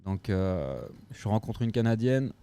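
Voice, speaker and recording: A French man chats as a podcast guest, recounting a move abroad in an easygoing way. His voice sits low and warm, and he fills gaps with hesitations. A faint Québécois lilt colors his French. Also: measured pace, clear, resonant tone. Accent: French with a picked-up Québécois touch. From France. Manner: relaxed, lots of filler hesitations. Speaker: guest, co-owner of a restaurant. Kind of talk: casual storytelling chat.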